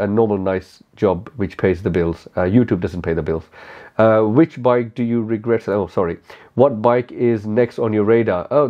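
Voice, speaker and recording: A man in his forties with an Indian English accent, speaking candidly to camera in a casual question-and-answer video, halting with many fillers. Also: on the low side. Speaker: motorcycle YouTuber. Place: small cozy room indoors. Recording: slightly dull lo-fi audio.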